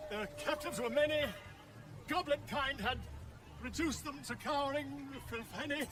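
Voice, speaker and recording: An actor voicing a boisterous goblin bard in a video game, declaiming a rhyming victory verse. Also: male voice, extremely high pitch, noisy background, exaggerated voice. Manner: slow, declaimed rhyming delivery. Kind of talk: video game character acting. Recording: distant voice under music.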